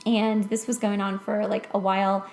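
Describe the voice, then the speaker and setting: A woman hosting a casual, chatty podcast, telling stories in an animated way with giggly asides. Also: medium pitch, normal speed. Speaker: podcast host. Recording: roomy, echoing podcast recording.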